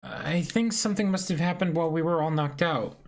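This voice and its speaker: A man voicing a small, worried young reindeer doctor, speaking softly and earnestly in a comic-book reading. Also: on the high side, brisk, close to an everyday voice.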